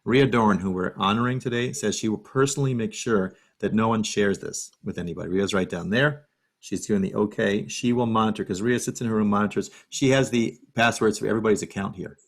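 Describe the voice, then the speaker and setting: A man hosting an interview, asking relaxed, halting questions for a group. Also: fairly low pitch. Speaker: interviewer host.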